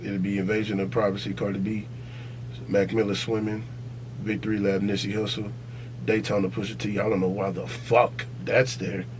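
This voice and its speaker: A man's voice: on the low side, little pitch movement, normal speed, noisy background. An American man hosting a casual podcast debate, talking in a slangy, profane, insistent and opinionated way. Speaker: podcast host.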